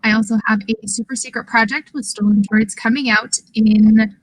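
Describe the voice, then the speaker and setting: A woman's voice: a little high, steady tone, moderate pace, no room sound, low-quality recording. A woman guest on a gaming podcast, chatting casually. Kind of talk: casual chat.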